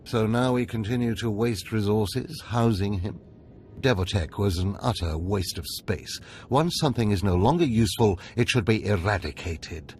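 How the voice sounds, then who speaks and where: An actor playing a stern, impatient Sith overseer in a video game, imperious, clipped and lecturing. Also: male voice, fairly low pitch, normal speed, darkish tone, slight background noise, natural voice. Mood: stern, demanding. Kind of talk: video game cutscene acting.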